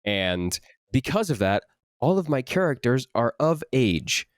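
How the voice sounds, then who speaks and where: A young man, a voice actor, chatting casually in an interview, animated and full of restarts. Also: mid-range voice, unhurried, no echo, studio quality. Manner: animated, many restarts, rising for emphasis. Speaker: voice actor guest.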